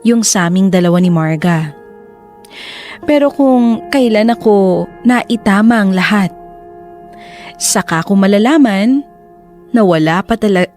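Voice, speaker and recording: An actress playing a reflective, remorseful lover who narrates a love story in the first person. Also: female voice, medium pitch, expressive, unhurried, pauses often, a little mellow, faint music behind, close to an everyday voice.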